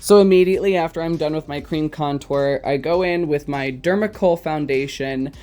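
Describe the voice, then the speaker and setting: A man in his twenties, a drag queen, talking through his makeup routine in a casual, self-mocking way, with lots of ums and quick giggles. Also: fairly high pitch, darkish tone, faint music behind. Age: 20s. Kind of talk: casual makeup tutorial commentary.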